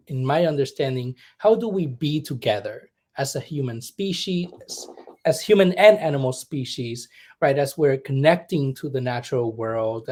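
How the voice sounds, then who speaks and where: Reflective chaplain from Puerto Rico. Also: male voice, medium pitch, a little mellow. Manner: reflective, searching, many ums and "right?". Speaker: humanist chaplain, guest. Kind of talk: conversational interview.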